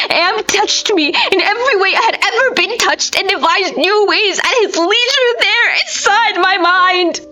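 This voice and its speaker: A woman's voice: very high voice, pushed and bright. A woman reading a horror story aloud in an intense, theatrical manner. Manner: intense, theatrical, with breathy whispers and strained cries. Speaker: amateur audiobook reader. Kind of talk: dramatic scripted horror story narration.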